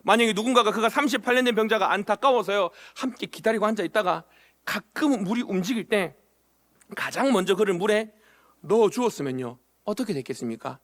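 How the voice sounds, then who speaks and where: High-voiced Korean pastor preaching. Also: male voice, expressive, brisk, fairly bright tone. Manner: asks the congregation questions, acts out short quoted lines. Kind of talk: sermon. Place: church sanctuary.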